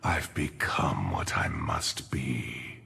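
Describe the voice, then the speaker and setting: An actor voicing a grim, weary, ancient necromancer gravedigger in a video game, raspy, slow and solemn. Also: male voice, a bass voice, big pitch swings, pushed and bright, in a large hall, a little hiss or hum, heavily acted. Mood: brooding, defiant. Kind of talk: video game character voice lines.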